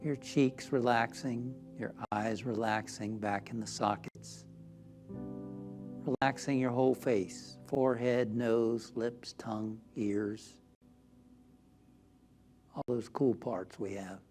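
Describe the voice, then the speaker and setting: A man leading a guided meditation as a minister, gentle and soothing, often softening toward a whisper. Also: medium pitch, fairly even intonation, slow pace, long pauses, music in the background.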